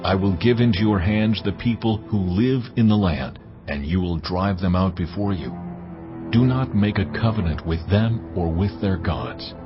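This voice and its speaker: An actor playing God as a solemn, commanding deity in a dramatized scripture reading, speaking in a measured, authoritative way. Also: male voice, low voice, close to an everyday voice. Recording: dull studio sound over clear music. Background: music.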